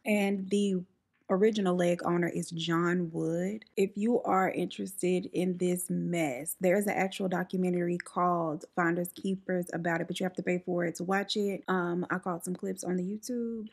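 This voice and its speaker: A young woman talks straight to a close camera at home while she does her makeup, chatting loosely through a story. Her voice has a gravelly fry and a slightly husky edge. She drops sassy asides and says "like" a lot. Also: lowish voice. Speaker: beauty vlogger telling stories. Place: indoors at home, room behind her. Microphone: camera in front at close range.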